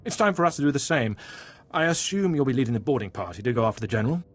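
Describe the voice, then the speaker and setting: An actor playing a gruff, pragmatic warship captain in a video game, firm and commanding. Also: male voice, mid-range voice, expressive, rapid-fire, lightly acted. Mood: composed.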